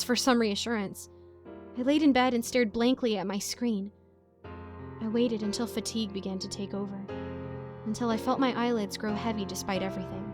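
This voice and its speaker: A woman reading a scripted first-person horror story as its narrator, in a dramatic style. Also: mid-range voice.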